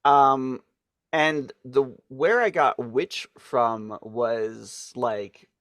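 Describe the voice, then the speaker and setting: A man's voice: mid-range voice, extremely slow, fairly bright tone, no room sound. A man co-hosting a podcast in casual chat, stumbling over his words with many fillers and restarts and laughing often. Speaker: podcast co-host.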